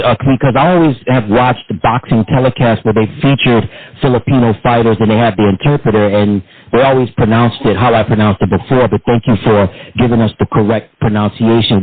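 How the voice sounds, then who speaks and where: A man's voice: mid-range voice, dry recording. Courteous male interviewer on a phone-like line. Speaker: talk show host interviewer. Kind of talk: formal, measured interview questioning.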